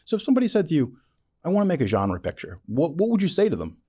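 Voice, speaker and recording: Animated man hosting a casual interview. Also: fairly high pitch, very fast, breathy edge. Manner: colloquial, emphatic, swears casually. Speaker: podcast host interviewing a guest. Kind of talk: casual chat.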